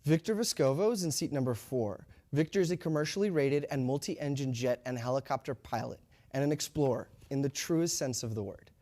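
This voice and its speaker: A man's voice: medium pitch, a little fast, few pauses. Polished male launch broadcast host. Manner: steady, polished presenter delivery. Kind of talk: scripted live broadcast commentary.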